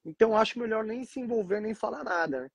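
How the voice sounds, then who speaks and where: A man in his forties from São Paulo with a Paulista accent, speaking as a guest on a video call interview in a relaxed way, often saying "tipo assim". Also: high voice, fast talker, gravelly, crowd noise. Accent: Paulista Brazilian Portuguese. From Brazil, São Paulo. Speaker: guest, capoeira teacher abroad. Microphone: video call from abroad.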